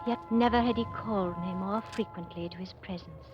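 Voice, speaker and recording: An actress playing a demure, devoted young governess in a 1940s radio drama, earnest, formal and restrained. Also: female voice, mid-range voice, fairly quick, natural voice. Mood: wistful. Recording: dull old radio recording, loud music behind.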